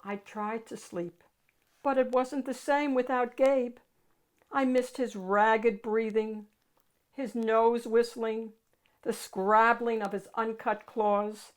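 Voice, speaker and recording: A woman reading a picture book aloud to children as host, in an animated, dramatic storytelling style. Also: a little high, slow.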